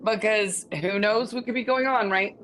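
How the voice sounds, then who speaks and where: A woman's voice: mid-range voice, normal speed, a bit bright, slight background noise. A woman co-hosting a casual sports chat, talking as an enthusiastic, gushing fan. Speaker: co-host.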